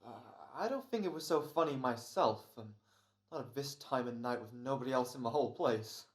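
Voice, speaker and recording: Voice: medium pitch, expressive, fairly quick, echoey room, lightly acted. An actor playing an exasperated, hammy male radio actor in an old-time comedy-horror radio play. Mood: irritated.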